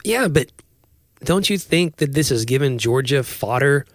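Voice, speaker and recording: An American man in his forties co-hosts a casual podcast. He rambles and jokes in a medium-pitched voice. The sound is close and dry, from a small indoor room. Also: expressive, unhurried, no room sound. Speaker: podcast co-host. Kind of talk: casual chat. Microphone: close podcast microphone on boom arm.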